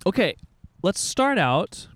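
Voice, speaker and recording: A man's voice: high-pitched, sing-song, takes it slowly. A man in his thirties hosting a casual family podcast, patiently guiding his children with questions. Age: 30s. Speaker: podcast host, father.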